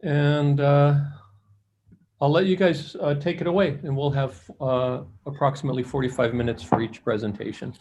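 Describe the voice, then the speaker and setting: A man's voice: fairly high pitch, steady tone, normal speed, a little mellow, slight background noise. A man moderating a thesis review over a video call, talking loosely with many fillers and restarts. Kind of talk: casual chairing on a video call. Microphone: computer mic on a video call.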